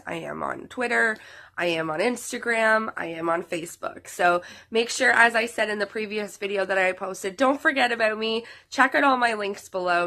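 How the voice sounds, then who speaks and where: A woman in her mid-thirties chats casually to her channel's viewers, her voice nasal and worn from being sick. The tone stays bright, and she rambles along with plenty of fillers and restarts. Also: mid-range voice. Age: 30s. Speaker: vlogger.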